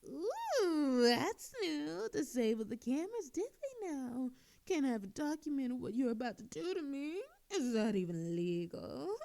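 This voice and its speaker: A cocky, teasing female thief, acted by a woman in an audio drama, with a high voice that swoops widely. She drawls slowly and theatrically, flirting with smug relish. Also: big pitch swings, vocal fry, dark tone, exaggerated voice. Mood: teasing, coy.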